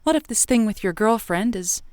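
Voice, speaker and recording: An actress playing a radio advice host who asks probing, halting questions. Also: female voice, mid-range voice, lively intonation, a little mellow, dry recording, studio quality, lightly acted. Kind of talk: improvised comedy call-in radio acting.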